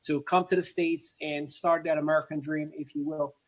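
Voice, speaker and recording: A man in his thirties with a Moroccan accent and a somewhat high-set voice. He talks earnestly and at length in an interview, with plenty of fillers, restarts and repeated words. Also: level tone, normal speed. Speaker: interview guest. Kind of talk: conversational storytelling interview.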